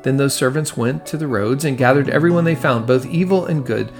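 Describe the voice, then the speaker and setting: Man, gravelly fry, scripted gospel reading, faint guitar music behind. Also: mid-range voice, a little fast. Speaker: scripture reader.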